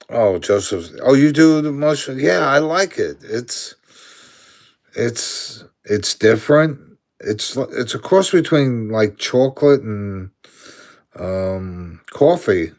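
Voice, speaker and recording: A man's voice: on the low side, a little mellow. A man in his sixties from Brooklyn, New York, with a Brooklyn accent, chatting casually on a live stream, answering viewer comments aloud and chuckling often.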